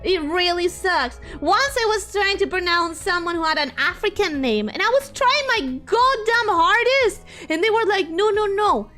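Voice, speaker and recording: A young woman streamer chatting casually with viewers, voice swinging from high squeals down to low mutters. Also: animated, moderate pace, hardly any pauses, sharp tone, faint music behind. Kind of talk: casual chat reading viewer messages.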